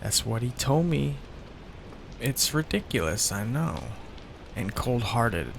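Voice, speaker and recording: A man plays an awkward, devoted young mafia heir in an audio roleplay, slow and gravelly, his pitch rising and dipping a lot as he fumbles for words. Steady rain patters clearly behind him. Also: slight background noise, heavily acted. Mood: sheepish. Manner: hesitant and sheepish, with fillers and restarts. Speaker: ASMR roleplay voice actor. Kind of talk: ASMR roleplay.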